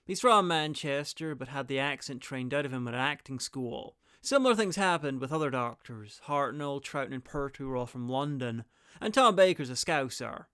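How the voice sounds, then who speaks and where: A man's voice: medium pitch, expressive, moderate pace, sharp tone, clean tone, dry recording. A man narrating a scripted review in an informal, opinionated and wry way, with casual asides. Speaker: reviewer presenting an audio drama guide.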